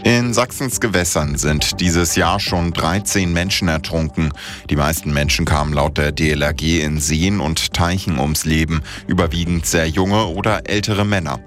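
A man reading the morning radio news in a crisp, measured way. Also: sits low, fairly bright tone, faint music behind. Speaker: newsreader.

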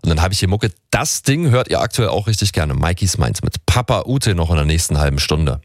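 Chatty morning radio guy, bouncy voice, low-ish, some music under. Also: a little fast, no echo, clean studio sound. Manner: lively, chatty, upbeat. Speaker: morning show radio host. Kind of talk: radio morning show presenting.

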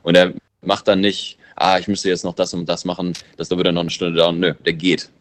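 A man's voice: deep voice, completely flat delivery, brisk. A man, a musician and producer whose first language is English, answering interview questions in a loose, musing way, thinking aloud as he goes.

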